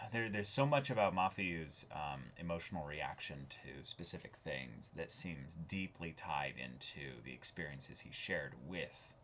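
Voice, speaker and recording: Male anime show co-host, very deep voice, casual and reflective, halting phrasing, noticeable background noise. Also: animated, fairly bright tone. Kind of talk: casual discussion.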